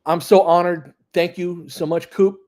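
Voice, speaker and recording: Opinionated man with a slightly high voice. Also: flat delivery, unhurried, a little mellow. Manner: conversational, opinionated, sweary; partisan fan reacting to plays and chat. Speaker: host of a basketball fan live stream; former high school basketball coach. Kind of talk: casual live play-by-play with fan chat.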